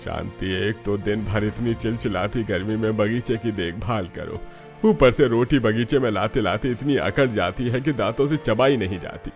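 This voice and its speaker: Older village father-in-law , male actor, cartoon farmer: low pitch, weary and grumpy. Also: moderate pace, soft background music, natural voice. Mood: grumbling. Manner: irritable, weary complaining. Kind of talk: Hindi cartoon story dialogue.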